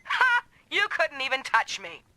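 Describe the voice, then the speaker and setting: Brash, high-voiced woman mech pilot. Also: wildly swooping pitch, a little slow, sharp tone, dry recording, heavily acted, rough recording. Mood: angry, combative. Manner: brash, taunting, commanding. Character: sharp-tongued, proud woman mech pilot, bossy and combative. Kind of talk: anime-style video game voice acting.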